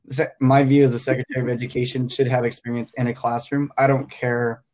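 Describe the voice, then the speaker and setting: A man's voice: medium pitch, little pitch movement, fast talker, a little mellow, low-quality recording. A young man from Hong Kong, a teacher and podcast guest, talking casually and hesitantly, thinking aloud with many fillers.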